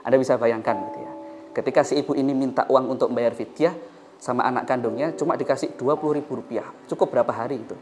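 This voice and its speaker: A man's voice: fairly high pitch, darkish tone. Javanese-accented male preacher over music. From Indonesia. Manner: emphatic, repeats phrases and asks rhetorical questions. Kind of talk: storytelling sermon.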